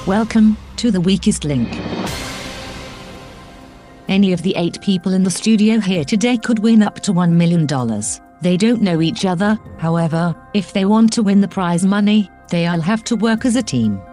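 A woman voicing a stern female quiz-show host who reads the rules in a crisp, formal way. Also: medium pitch, normal speed, music in the background, close to an everyday voice.

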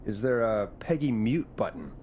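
An actor playing a weary, dry-witted late-night radio host in a video game, deadpan and grudging. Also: male voice, fairly low pitch, normal speed, slight background noise. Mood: reluctant, dry. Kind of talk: game voice acting.

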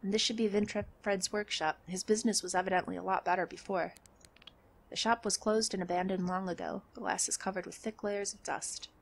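A woman's voice: medium pitch, fairly even intonation, fairly quick, creaky, a little hiss or hum, lightly acted. A woman voicing an earnest young male hero in a video game, asking questions with curiosity. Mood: observant.

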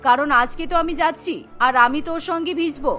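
A woman's voice: sits high, quick pace, pushed and bright, soft background music, voice pushed down. A woman voicing a stern old cartoon grandmother, doting yet bossy, with a scolding, commanding manner. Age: older. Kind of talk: children's cartoon voice acting.

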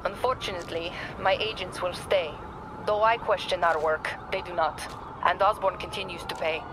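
A woman playing a stern mercenary leader in a video game, speaking formally and solemnly, with quiet regret. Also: fairly low pitch, fairly even intonation, moderate pace, noisy background, close to an everyday voice. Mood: solemn, regretful. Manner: formal, clipped, solemn phrasing.

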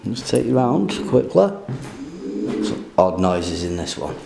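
Man, gravelly voice, slow lively rambling, swears casually, handheld camera mic, noisy derelict house. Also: fairly low pitch, roomy sound, crowd noise. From England. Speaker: urban explorer vlogger. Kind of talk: casual handheld exploring commentary.

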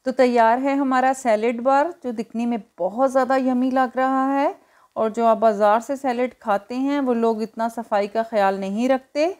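A woman's voice: a little high, steady tone, moderate pace, a little mellow. A woman hosting a cooking tutorial in voice-over, explaining each step in an instructional way. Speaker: cooking show host.

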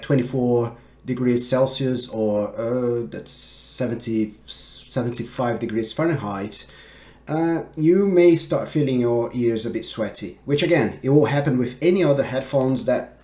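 A man in his thirties giving a casual tech product review with hesitant, halting phrasing. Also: medium pitch, warm tone. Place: small home office, indoors.

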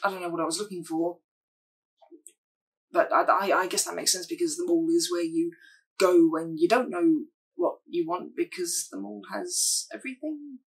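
A woman playing an awkward, lovestruck young person, hesitant and earnest in a halting monologue. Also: lowish voice, very animated, slightly rough, far from the mic, poor audio. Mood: nervous. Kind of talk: dramatic monologue acting.

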